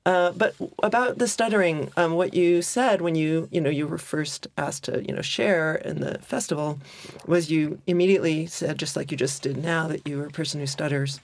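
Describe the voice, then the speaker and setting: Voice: medium pitch, fairly even intonation, normal speed, gravelly. A podcast host who teaches writing, talking casually and effusively with lots of fillers and restarts. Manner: rambling, many fillers and restarts, warmly effusive. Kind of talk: casual interview chat.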